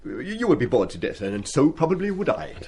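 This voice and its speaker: An actor in a radio play as a stiff, wounded older schoolmaster who speaks formally and precisely with dry, dignified restraint. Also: male voice, mid-range voice, sing-song, fairly quick, darkish tone, slightly rough. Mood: flustered, pleading. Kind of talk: radio drama acting.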